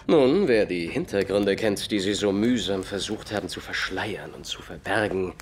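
Shrewd, relentless detective for audio drama: low-pitched, highly expressive, pointed and theatrical. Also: male voice, moderate pace, a little hiss or hum. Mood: commanding, accusing.

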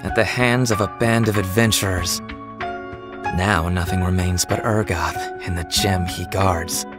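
An actor playing a calm, mournful royal prince in a video game, speaking in a measured, solemn way. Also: male voice, low voice, loud music behind the voice. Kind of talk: dramatic video game acting.

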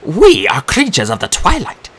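A man voicing a trio of cold, ancient Valkyrie warrior women in an audiobook, slow and threatening. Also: fairly high pitch, huge pitch swings, fairly bright tone, pitched above the performer's own voice, heavily acted. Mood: menacing. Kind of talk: audiobook character voice.

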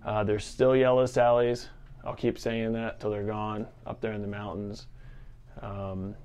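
Low-pitched man, casual presenter. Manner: informal, conversational. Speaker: fly shop forecast presenter. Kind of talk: casual unscripted fishing report. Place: fly fishing shop, indoors. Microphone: lavalier clipped at collar.

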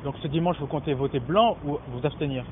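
A man's voice: a little high, a little fast. A young man interviewing people on the street, asking his questions politely and formally. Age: young adult. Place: outdoors on a city street. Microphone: holding a handheld mic. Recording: phone-like sound, street noise.

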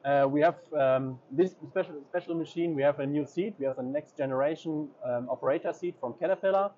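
A man's voice: fairly high pitch, a little fast, dark tone. Man touring a trade fair booth. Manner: hesitant, many ums and uhs. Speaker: equipment dealer representative. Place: large indoor exhibition hall. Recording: slightly dull audio, faint hall noise.

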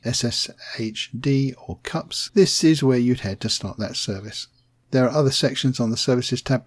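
A man reading a scripted software tutorial voice-over, plain and steady, spelling out commands slowly. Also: mid-range voice. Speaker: tech tutorial narrator.

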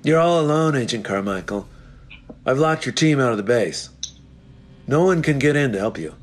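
A menacing male villain agent, acted by a man at a table read. He speaks in a mid-pitched voice with a cold, threatening manner. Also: expressive, moderate pace, soft background music, close to an everyday voice. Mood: cold menace.

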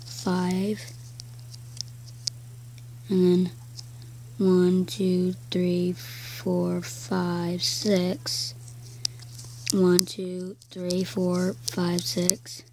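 A woman with a croaky voice giving casual gameplay commentary, slowly counting blocks aloud as she builds. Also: on the low side, completely flat delivery, warm tone, slight background noise. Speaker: game video creator.

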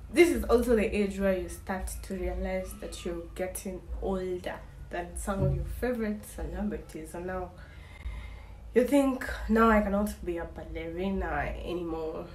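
A woman's voice: lowish voice, moderate pace, darkish tone. A woman in her twenties talking to camera in a casual vlog, candid and wry, rambling with frequent restarts. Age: 20s. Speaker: vlogger. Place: small indoor room. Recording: echoey room, faint ambient hiss.

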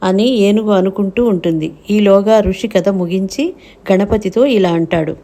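A woman's voice: mid-range voice, a little slow, warm tone. A woman giving scripted narration of a children's story.

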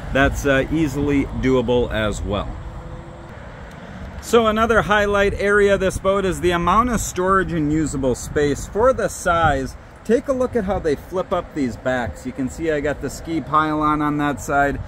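A man's voice: a little high, a bit bright, a little hiss or hum. A man walking through a boat on a dealership lot outdoors, upbeat and listing features one by one. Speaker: boat salesman giving a walkthrough. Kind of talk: product walkthrough.

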